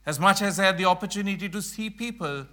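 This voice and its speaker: A middle-aged man from Sri Lanka speaks to a church gathering in an earnest, emphatic way. His voice sits high and sounds bright and forceful. He slows down and leans on key phrases. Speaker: mission speaker. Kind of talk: church presentation.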